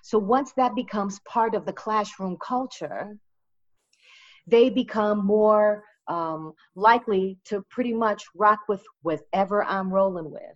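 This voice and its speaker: A woman's voice: mid-range voice, a little slow. Earnest woman teacher, dull audio. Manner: earnest, emphatic, reflective. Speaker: Spanish teacher. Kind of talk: conversational interview.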